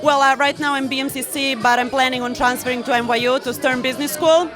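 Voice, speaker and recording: A woman's voice: sits high, steady tone, fast talker. Chatty woman from Serbia, music behind. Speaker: model and business student. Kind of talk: casual interview answers. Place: rooftop event.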